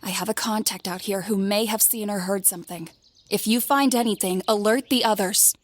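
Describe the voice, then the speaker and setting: A woman's voice: medium pitch, brisk, no room sound, natural voice. A woman playing a composed, watchful knight captain with a formal, authoritative manner in an audio drama. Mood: commanding.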